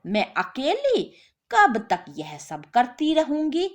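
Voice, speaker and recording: A woman plays a fed-up working wife in a storybook tale. She scolds with sarcasm and reproach, in a high, animated voice that takes its time. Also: slow pace, full character voice. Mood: weary, reproachful.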